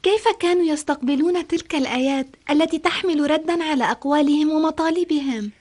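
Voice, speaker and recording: A bright, high little voice of a curious child, full of earnest questions for her father, as a woman acts her out. She speaks like a child in a storybook audio, inquisitive and eager. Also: quick pace, natural voice.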